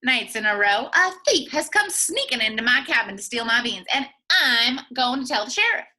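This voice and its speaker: Woman playing a feisty old granny, high and swooping. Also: big pitch swings, bright, forceful tone, exaggerated voice. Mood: aggrieved, determined. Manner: exclamatory, indignant, drawn-out delivery. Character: feisty, stubborn old granny in a children's storybook.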